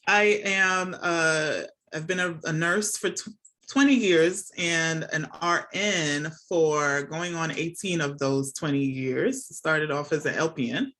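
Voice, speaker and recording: A woman's voice: deep voice, takes it slowly, a bit bright. A middle-aged woman from Jamaica who moved to the States as a child, chatting casually as a podcast guest and often checking in with the listener. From born and raised in Jamaica, moved to the United States as a child. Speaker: podcast guest, nurse and academic. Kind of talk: casual conversational interview answers. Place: remote video call from home.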